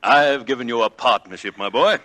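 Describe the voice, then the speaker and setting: An actor playing a brisk, commanding, fatherly older judge and law boss in a 1940s radio drama. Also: mid-range voice, pushed and bright, lightly acted. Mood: hearty.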